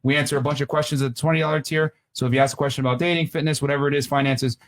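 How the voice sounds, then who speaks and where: A man co-hosting a podcast, reading announcements and viewer messages in a quick, bantering, promotional way. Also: mid-range voice, steady tone. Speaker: podcast co-host. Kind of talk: casual podcast chat, reading super chats. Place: studio in Miami.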